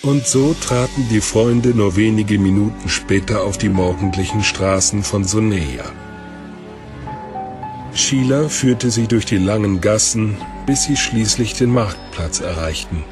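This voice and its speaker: A man's voice: lowish voice, a little slow. A man narrating an audio drama from a script. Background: music.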